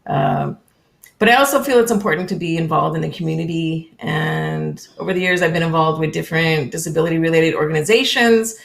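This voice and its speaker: Low gravelly woman from California. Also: brisk. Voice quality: friendly, with frequent ums and small laughs. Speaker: interview guest. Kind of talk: casual conversational interview.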